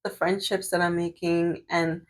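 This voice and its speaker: A woman's voice: lowish voice, flat delivery, measured pace. A woman in her thirties vlogging while doing her hair, chatting casually with lots of fillers and restarts. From Canada. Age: 30s. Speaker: medical student and vlogger.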